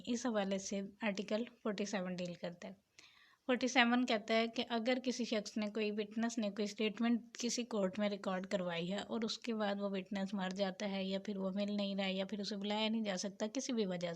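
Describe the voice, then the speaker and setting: A woman, teaching a legal statute: she reads the English text slowly and explains it in a plain lecture manner. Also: medium pitch. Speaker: law lecturer.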